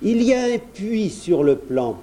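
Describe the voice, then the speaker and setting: A man's voice: high voice, very animated, a little slow, mellow, pitched above the performer's own voice. A man voicing a crafty, bold little prince in a children's storybook, polite and falsely innocent. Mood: sly.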